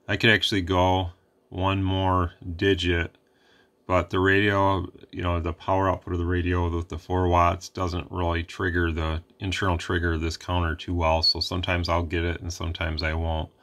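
A man hosting a casual radio demo at his workbench, talking in a relaxed, plain-spoken way with rambling asides. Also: deep voice. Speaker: radio repair channel host.

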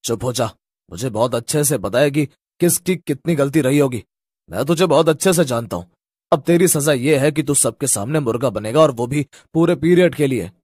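A man's voice: mid-range voice, moderate pace, a little mellow, husky, no echo, studio quality, natural voice. A man voicing a stern, authoritative schoolteacher who scolds in an accusing way in a children's story. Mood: stern, scolding.

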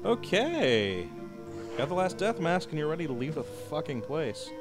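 A man's voice: fairly high pitch, big pitch swings, moderate pace. A man co-hosting a game playthrough, casually guiding his partner with teasing, profane banter. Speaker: guiding let's-play co-host. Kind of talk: casual let's-play banter. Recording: game music underneath.

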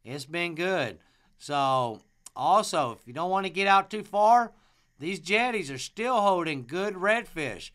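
A man's voice: high voice, expressive, unhurried, a bit bright. A man giving an informal fishing report as a guide, in a folksy, plain-spoken way. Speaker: fishing guide and charter captain. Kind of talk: informal fishing report narrated over a map.

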